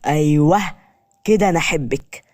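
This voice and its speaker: A woman's voice: low voice, a little fast, dark tone, natural voice. A woman voicing a threatening, slyly teasing young male kidnapper in an audio story, with an Egyptian Arabic accent. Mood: smug, menacing.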